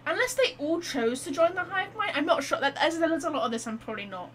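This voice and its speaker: A woman's voice: high voice, expressive, fast. A woman streaming a game, chatting casually and reacting aloud as she plays. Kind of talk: casual gameplay commentary. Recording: faint background noise.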